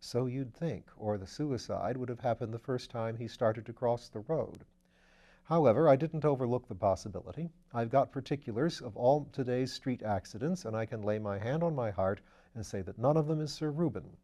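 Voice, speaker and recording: A man reading aloud, voicing a calm, methodical police detective who reports things in a measured way. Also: medium pitch, lightly acted. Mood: matter-of-fact. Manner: calm, matter-of-fact, measured.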